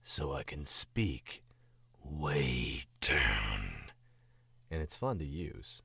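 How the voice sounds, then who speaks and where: A man's voice: very deep voice, animated, extremely slow, vocal fry, pushed and bright, husky, no echo. A sick man from the Southern US with a Southern accent, chatting casually and rambling on a stream as a voice actor. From Southern United States. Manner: rambling and distracted, chuckling often. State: sick, voice dropped lower.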